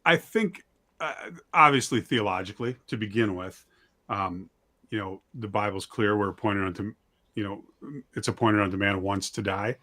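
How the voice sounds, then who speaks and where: A man's voice: fairly low pitch, normal speed, bright, forceful tone. A man talking casually as an interview guest, plain-spoken and self-deprecating, emphatic about what he believes. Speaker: guest, audio drama writer and director.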